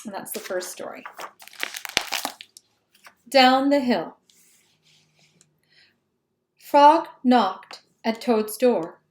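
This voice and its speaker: Slow, high-voiced woman reading a children's story. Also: animated, darkish tone. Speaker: storybook reader. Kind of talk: children's read-aloud narration.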